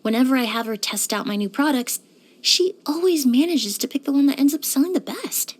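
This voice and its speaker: An actress voicing a poised young woman perfumer in a video game, speaking in a measured, articulate and refined way. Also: high-pitched, animated. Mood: composed, lightly amused. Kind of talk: scripted video game character monologue.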